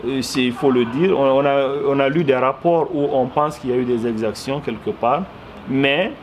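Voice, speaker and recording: A man with a Malian accent giving earnest, explanatory interview answers, often repeating words for emphasis. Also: mid-range voice. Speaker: interviewee, commentator. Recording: clearly noisy background ambience.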